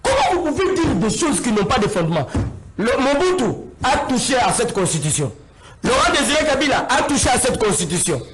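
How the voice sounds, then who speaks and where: A man's voice: very high-pitched, big pitch swings, a little fast, a crowd in the background, low-quality recording. A man with a Congolese accent in a heated political debate, arguing insistently and repeating himself. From Congo (DRC). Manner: insistent, repetitive, argumentative.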